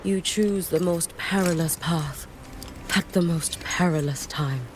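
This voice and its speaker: A woman voicing a stoic, solemn female warrior in a video game, speaking formally and softly, with a breathy edge. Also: on the low side, noisy background, natural voice. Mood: solemn, measured. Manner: formal, measured, grave. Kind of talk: scripted video game voice acting.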